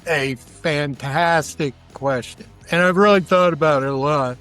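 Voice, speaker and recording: A man's voice: a little high, unhurried, a bit bright, soft background music. A man chatting casually as an interview guest, with a folksy, colloquial, enthusiastic manner. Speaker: interview guest, barbecue cook.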